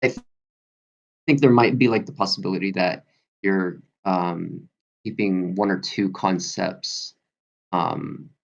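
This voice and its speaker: A man giving casual feedback on an online call in a hesitant, halting way, thinking aloud. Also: low voice, steady tone, vocal fry. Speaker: participant giving script feedback. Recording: dull lo-fi online call audio.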